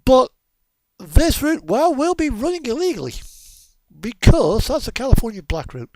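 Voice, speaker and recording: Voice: sits high, very animated, normal speed, a little mellow, no echo. A game streamer giving casual live commentary, thinking aloud and muttering through options.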